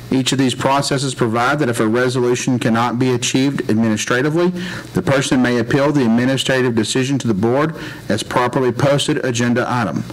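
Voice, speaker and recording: A man's voice: mid-range voice, low-quality recording. A man presiding over a school board meeting, reading a formal statement aloud in a meeting room. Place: public meeting room, indoors.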